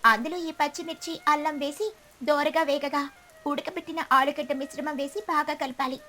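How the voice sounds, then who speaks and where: A woman's voice: high-pitched, fairly even intonation, moderate pace, bright, forceful tone. A woman voicing a practical, kindly mother sparrow who cooks and instructs in a cartoon story. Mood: instructive. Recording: music behind the voice.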